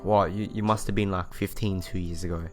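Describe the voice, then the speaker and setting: A man's voice: deep voice, steady tone, a little fast, darkish tone. A man reading a visual novel aloud, with casual asides and some stumbles over words that he goes back over. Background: music playing behind the voice.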